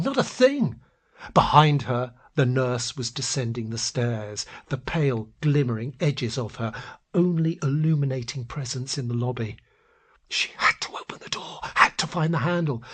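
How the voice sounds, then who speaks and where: Husky man narrating dramatic fiction. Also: on the high side, fairly bright tone. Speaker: audio story narrator. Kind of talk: dramatic literary story narration.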